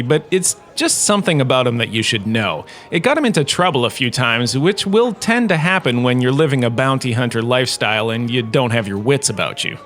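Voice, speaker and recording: An actor playing a vain, self-important professor and holodrama star, giving a wry, chatty comic lecture. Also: male voice, mid-range voice, fairly bright tone, natural voice.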